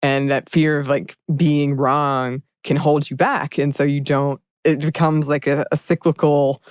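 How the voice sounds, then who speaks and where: A woman podcast co-host talking thoughtfully in a casual conversation, speaking in quick bursts with hesitant fillers. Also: deep voice, flat delivery, smooth, no echo, studio quality.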